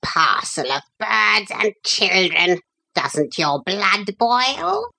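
A proud talking parrot out of a storybook, acted by a woman. The bird speaks slowly and emphatically, with a lively, swinging pitch. It sounds knowing, prim and short on patience. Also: creaky, heavily acted. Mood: indignant, impatient. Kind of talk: storybook character voice.

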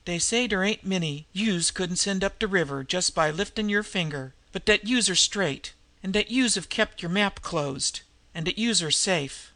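A reader voicing a delirious female street tough who croaks out harsh, slangy threats. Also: mid-range voice, moderate pace, sharp tone. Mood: mumbling. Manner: snarling, threatening.

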